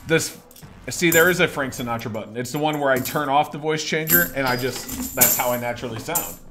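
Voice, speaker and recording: A young man with a hoarse, scratchy voice, chatting upbeat and casually as a livestream host while greeting viewers. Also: medium pitch, a little fast, music in the background. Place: indoors at a desk.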